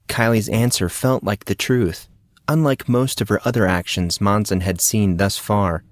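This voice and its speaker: A man narrates fantasy fiction with a deliberate, suspenseful delivery. His voice sits fairly low. The recording is close and very dry, like a dramatic audiobook. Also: no echo.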